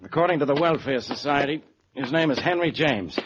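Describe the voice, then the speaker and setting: Actor as a grouchy police inspector, muffled radio. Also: male voice, on the high side, fairly quick, close to an everyday voice. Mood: grumbling. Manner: grouchy, doubting, blustering. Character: grumbling, skeptical police inspector, exasperated foil. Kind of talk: 1940s radio crime drama acting. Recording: dull old radio recording, clear noise.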